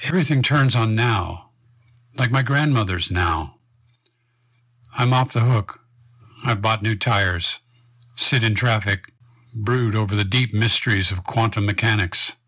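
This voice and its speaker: A man's voice: fairly low pitch, measured pace, pauses often, a bit bright, husky. Reflective man reading his poetry. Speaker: poet. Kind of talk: reading and discussing his poem.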